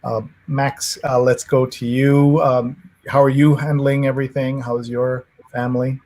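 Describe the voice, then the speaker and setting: A male host leads an interview over a video call, his words measured and sometimes restarted. He speaks at a middle pitch. His tone stays flat and even. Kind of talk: interview talk.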